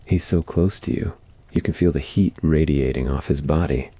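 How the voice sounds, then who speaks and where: Very deep-voiced man narrating ASMR fiction. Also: normal speed, mellow, breathy edge, no echo. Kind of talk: scripted ASMR story narration.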